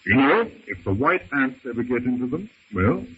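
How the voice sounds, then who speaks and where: On a muffled, faintly hissy old radio recording, a male actor plays a proper English gentleman stranded in the jungle. His pitch moves animatedly through formal, earnest sentences. The delivery is theatrical adventure-serial drama. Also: sing-song, normal speed, lightly acted. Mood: brisk, practical. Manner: formal, genteel, theatrical phrasing.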